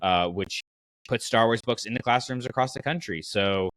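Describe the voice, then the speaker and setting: A man's voice: on the low side, normal speed, fairly bright tone, dry recording, poor audio. A man hosting a podcast in a casual, enthusiastic and conversational way. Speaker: podcast host.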